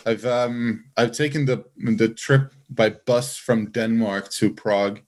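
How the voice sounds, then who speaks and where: A man's voice: mid-range voice, fairly even intonation, slow pace, a little mellow. A man in casual podcast conversation, explaining things in a careful, qualified way. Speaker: podcast host.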